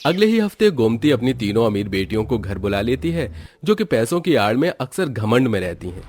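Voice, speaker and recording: A man's voice: medium pitch, very animated, fairly quick, a little mellow, a little hiss or hum. A man narrating a scripted cartoon moral story as its storyteller.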